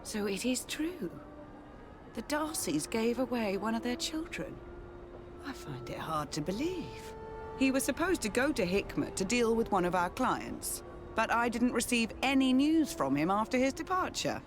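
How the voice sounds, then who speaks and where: A woman's voice: a little high, very animated, normal speed. An actress playing a curt, sardonic businesswoman in a video game, with a brusque manner and dry sarcasm. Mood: sceptical, resigned. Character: curt, sardonic businesswoman, weary of her partner. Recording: game music under the voice. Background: music.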